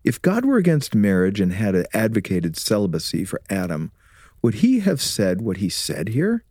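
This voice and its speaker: A man playing a warm, teasing, scholarly husband and father in a radio drama, with a measured, preacherly way of explaining. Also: on the low side, wildly swooping pitch, breathy edge, dry recording, studio quality, full character voice. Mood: earnest, instructive. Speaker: actor in an audio drama.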